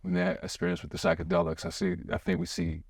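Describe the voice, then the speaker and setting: A man hosting a podcast in an excitable, rambling way, full of restarts and fillers. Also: low-pitched, fast talker, gravelly, dry recording. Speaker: podcast host. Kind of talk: casual chat.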